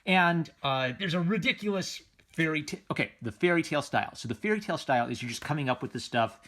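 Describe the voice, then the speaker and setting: A man's voice: on the high side, lively intonation, fast talker, fairly bright tone. A man giving casual, unscripted commentary as a comic book reviewer, enthusiastic and rambling, often circling back on his phrases.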